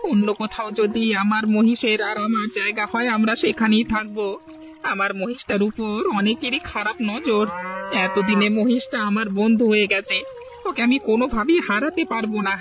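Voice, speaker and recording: Earnest village buffalo keeper as acted, mid pitch. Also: fairly even intonation, normal speed, lightly acted. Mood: resolute, sad. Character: aggrieved, devoted male villager, buffalo keeper. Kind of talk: Bengali cartoon folk-tale dialogue.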